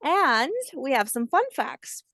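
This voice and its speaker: Higher-voiced woman chatting, dry sound. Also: expressive, takes it slowly, a bit bright, dry recording. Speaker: children's book author. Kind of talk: casual informal talk.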